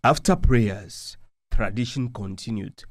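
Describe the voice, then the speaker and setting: A man reading a scripted news report as a voice-over, slow and deliberate. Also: lowish voice, a little hiss or hum.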